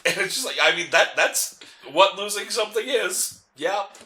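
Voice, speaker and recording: A man chatting casually as co-host of a video game playthrough, telling stories in a rambling, animated way. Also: high-pitched, moderate pace. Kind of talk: casual chat over gameplay.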